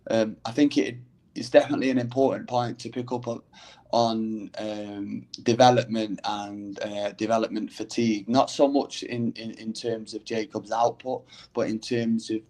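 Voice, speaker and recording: A man with a Manchester accent and a slight Irish lilt, talking in a casual discussion, pausing often with ums and restarts. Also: fairly low pitch, little pitch movement, a little mellow. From England. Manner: hesitant, many ums and restarts.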